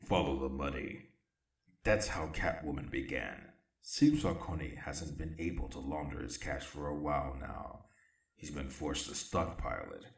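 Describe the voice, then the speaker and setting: A man voicing a brooding masked vigilante detective in a comic book audio drama, speaking in clipped, grim, deliberate phrases. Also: a bass voice, creaky, husky, voice pushed down, full character voice.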